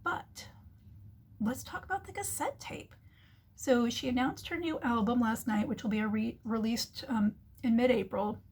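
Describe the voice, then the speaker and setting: A woman's voice: on the high side, moderate pace, a little mellow, breathy edge. A woman giving casual, chatty explanatory business commentary as the host, acting out little imagined remarks as she goes. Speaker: host of a marketing commentary show.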